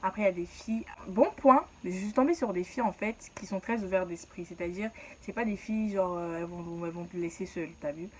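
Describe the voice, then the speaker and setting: A woman's voice: fairly low pitch, a little fast, darkish tone, slight background noise. A young woman from Ivory Coast telling her own story in a casual, slangy way and laughing at herself.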